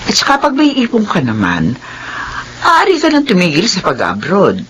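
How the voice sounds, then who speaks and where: A protective elderly mother in a radio drama. She talks earnestly with a lively, rising and falling pitch. A faint hiss sits under the recording. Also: moderate pace, rough recording. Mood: tender. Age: older. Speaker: mother of the heroine.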